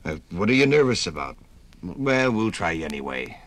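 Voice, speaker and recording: Casting: stern 1950s radio-drama office boss, low voice, brusque, swinging intonation. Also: male voice, big pitch swings, moderate pace, fairly bright tone, heavily acted. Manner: brusque, clipped. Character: stern, curt head bookkeeper at a factory.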